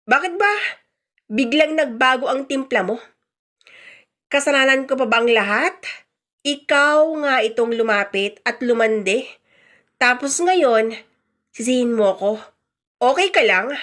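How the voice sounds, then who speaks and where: A woman acts out a blunt husband in a radio melodrama, fed up and defiant. The voice sits fairly high for a grown speaker, with a self-justifying, scornful edge. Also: fairly bright tone. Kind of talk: radio drama dialogue.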